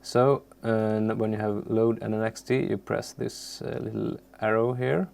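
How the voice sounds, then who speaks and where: A man who is a non-native English speaker presents an unscripted software tutorial in a halting way, searching for words. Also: on the low side, little pitch movement, creaky, a little hiss or hum. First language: not English.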